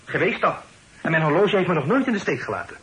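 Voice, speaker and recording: An actor playing a proper, guarded young gentleman with a formal, earnest manner in a Dutch radio play. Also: a little high, big pitch swings, fast talker. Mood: fretful. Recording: noisy old radio play recording.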